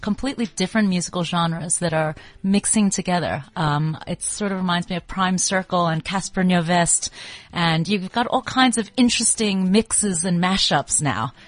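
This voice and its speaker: A woman hosting a radio talk show in the studio, speaking loudly and chattily and laughing easily. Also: on the low side, normal speed, hardly any pauses, no echo. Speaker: radio host.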